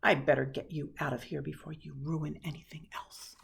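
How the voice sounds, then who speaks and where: A woman's voice: deep voice, flat delivery, normal speed, gravelly, fairly bright tone, a little hiss or hum, voice pushed down, heavily acted. A woman voicing a stern, flustered hospital nurse in a storybook, firm and grudging. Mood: stern, scolding.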